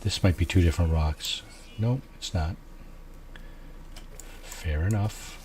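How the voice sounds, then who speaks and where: A man with a low, soft voice, quietly talking through a video game at an unhurried pace, musing aloud with many fillers. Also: slight background noise. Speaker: gameplay streamer. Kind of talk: casual let's-play commentary.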